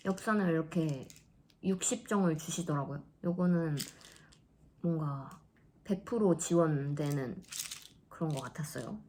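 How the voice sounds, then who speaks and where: A woman in her thirties chatting casually in a vlog, laughing often and dropping into soft asides. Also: fairly low pitch, a little hiss or hum. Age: 30s. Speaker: vlogger.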